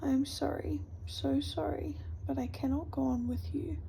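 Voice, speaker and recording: A woman's voice: high voice, steady tone, normal speed, creaky, warm tone, a little hiss or hum, rough recording. A woman whispering the lines of a grieving, exhausted young woman in a storybook reading. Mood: sorrowful. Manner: whispered, sorrowful.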